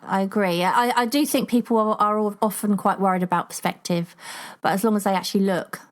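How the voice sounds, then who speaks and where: A woman's voice: medium pitch, steady tone, a little fast. A woman in her forties co-hosting a podcast, reading out listener comments and teasing her co-host with a giggle. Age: 40s. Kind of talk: casual chat.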